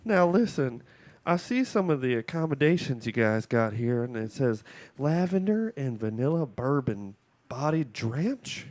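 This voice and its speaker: Prank-call role: fed-up, scheming wife acted by a man, deadpan and morbid, mid-pitched for a male voice, very dry sound. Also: measured pace, no room sound, lightly acted.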